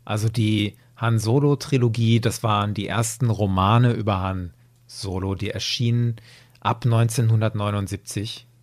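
A man's voice: lowish voice, fairly even intonation, moderate pace, no room sound. A man hosting a podcast, giving his opinions analytically in a conversational review discussion. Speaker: podcast host.

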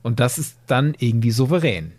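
Expressive man with a mid-range voice. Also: mid-range voice, brisk. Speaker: podcast guest. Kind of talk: casual discussion.

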